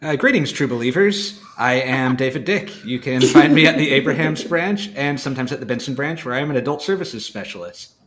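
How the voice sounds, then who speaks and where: A man's voice: medium pitch, animated, a little fast, a bit bright. A man co-hosting a librarians' podcast in casual conversation. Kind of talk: casual chat. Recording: clear background noise with clicks.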